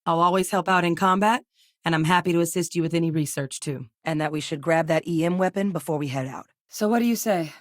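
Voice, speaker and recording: An actress playing a grave, dedicated woman scientist in a space-faring military, speaking in a measured, articulate way as video game dialogue. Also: fairly low pitch, no echo, studio quality, lightly acted. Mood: businesslike.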